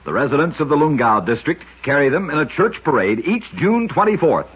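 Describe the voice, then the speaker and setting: A very husky man's voice, slightly high and full of lively movement, tells scripted strange tales in an emphatic 1940s radio manner. The old recording sounds muffled. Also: a little fast. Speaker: radio storyteller, host.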